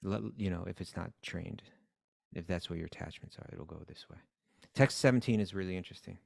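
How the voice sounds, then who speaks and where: A man co-hosting a casual discussion of scripture, explaining verses in a measured way with hesitation fillers. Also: sits low, vocal fry, no echo, clean studio sound. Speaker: podcast co-host.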